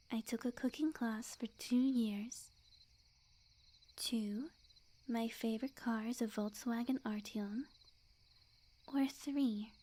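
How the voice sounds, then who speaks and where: Girl acting as a clingy sweet yandere witch, soft mellow whispery asmr voice, kinda high, coy and slow, playfully creepy. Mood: smug, patient. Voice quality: soft, breathy, often whispered. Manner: coy, unhurried, intimate sweet-talk.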